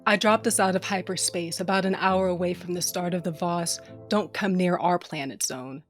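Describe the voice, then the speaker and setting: A woman's voice: lowish voice, moderate pace, faint music behind, natural voice. A woman playing a starship pilot who narrates her own story in an audio drama. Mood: matter-of-fact.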